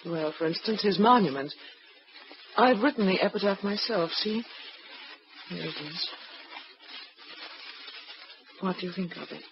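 A woman's voice: on the low side, normal speed, close to an everyday voice. Woman acting muffled old-radio scheming widow. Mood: wistful, coy. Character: scheming widow feigning devotion to her murdered husband. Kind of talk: 1940s radio drama acting. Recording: muffled, noisy vintage radio recording.

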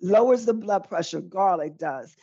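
A middle-aged woman teaches in a conversational, chatty way, circling back to repeat key phrases. Her pitch is average for a woman. The sound is a dull, lo-fi video call with little room echo. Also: moderate pace, warm tone, breathy edge. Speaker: cooking demonstrator. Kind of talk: live online cooking demonstration, conversational teaching. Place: indoor room with folding screen, demo table.

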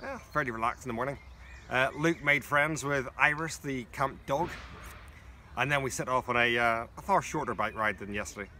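A man in his forties with an English accent, a scout leader narrating a trip vlog in a relaxed, chatty way. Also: mid-range voice, moderate pace. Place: outdoors in the countryside. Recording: noisy outdoor phone recording.

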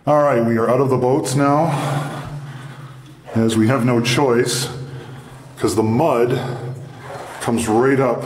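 A man from Canada giving casual, halting running commentary as he explores underground and describes what he sees. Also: mid-range voice, a little mellow, a little hiss or hum, poor audio. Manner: halting, unscripted descriptions of surroundings. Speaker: mine explorer and video host. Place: underground in an abandoned mine tunnel.

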